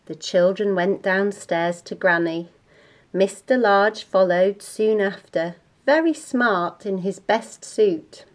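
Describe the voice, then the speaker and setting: A woman reading a children's bedtime storybook aloud in a deliberate, expressive way. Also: mid-range voice.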